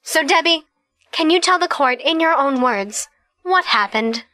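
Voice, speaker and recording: High, slow, sharp lawyer, acted by a woman. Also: lively intonation, exaggerated voice. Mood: formal, prodding. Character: pushy, grandiose trial lawyer addressing the court. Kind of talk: theatrical courtroom oratory.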